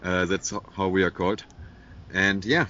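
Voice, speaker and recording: A man's voice: low voice, steady tone, normal speed, bright, forceful tone, highs cut off, slight background noise. A man with a German accent speaking as an interview guest, earnest and repeating words as he goes. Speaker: animal welfare worker. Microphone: internet call.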